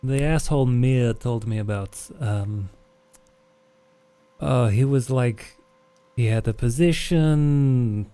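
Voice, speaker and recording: A man voicing a calculating, self-interested vampire in a tabletop roleplay, with a dry, deliberate delivery. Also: mid-range voice, warm tone, smooth, close to an everyday voice. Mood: skeptical. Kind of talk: actual play roleplay dialogue.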